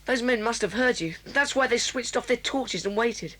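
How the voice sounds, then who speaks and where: An actress voicing a nervous young schoolboy who whispers anxiously and stammers. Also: female voice, a little high, normal speed, fairly bright tone, close to an everyday voice. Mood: agitated.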